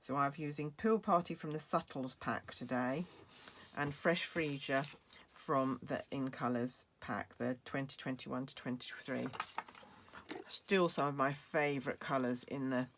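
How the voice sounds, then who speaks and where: Low-voiced British woman demonstrating a craft project. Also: measured pace, slight background noise. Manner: chatty, explains step by step with fillers. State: losing her voice, coughing. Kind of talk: crafting tutorial.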